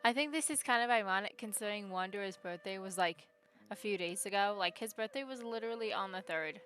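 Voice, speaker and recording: A woman's voice: medium pitch, fast talker, a bit bright, soft background music. A woman streaming a game live, commenting casually and reading some dialogue aloud.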